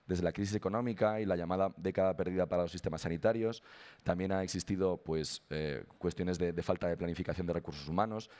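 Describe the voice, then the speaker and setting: A young man with a Spanish accent giving a conference talk, his voice hoarse after an illness, hesitant with frequent restarts. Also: sits low, fast, a little mellow. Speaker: conference speaker.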